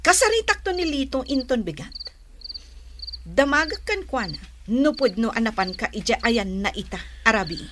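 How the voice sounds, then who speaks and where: A woman's voice: a little high, very animated, moderate pace, background noise. A woman acting a jealous, demanding young woman who scolds and questions. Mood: suspicious, scolding. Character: jealous, suspicious, possessive young woman Carla. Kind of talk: Ilocano radio drama acting.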